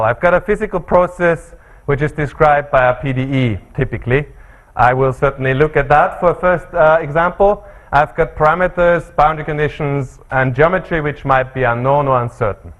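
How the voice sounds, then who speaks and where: A middle-aged man with an Austrian accent giving an academic seminar talk in a seminar room, measured and often pausing on fillers. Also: fairly high pitch. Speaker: lecturer.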